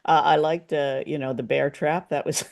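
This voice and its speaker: A man's voice: on the high side, level tone, normal speed, gravelly, a little mellow. A man co-hosting a casual review chat about a radio play. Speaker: podcast co-host.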